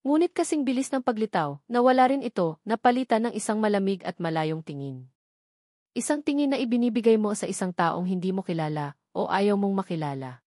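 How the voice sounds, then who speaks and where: A woman's voice: mid-range voice, lively intonation, brisk, warm tone, dry recording, clean studio sound. Filipino woman narrating a dramatic story. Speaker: audiobook narrator.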